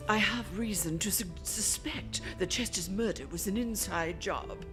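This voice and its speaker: A woman playing a polite, genteel businesswoman with a husky voice, acted in 1940s radio drama style. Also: medium pitch, unhurried, music in the background, close to an everyday voice. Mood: guarded, uneasy.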